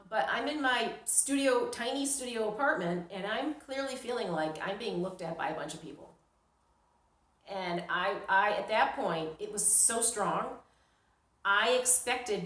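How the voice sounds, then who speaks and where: A woman's voice: mid-range voice, a bit bright. A middle-aged woman from New York tells a personal story casually and dramatically, acting out her reactions. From New York, United States. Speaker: storyteller, vlogger. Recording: roomy, echoing sound.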